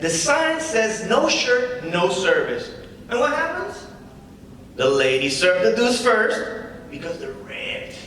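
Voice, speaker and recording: Young guy acting as cocky flippant standup comic, highish lively voice, chatty, recorded in some echoey hall. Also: slow, exaggerated voice. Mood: cocky stand-up patter. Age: young adult. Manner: casual, conversational with rhetorical tag questions. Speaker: student actor. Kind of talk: staged dramatic script reading. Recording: echoey hall from far off, faint noise.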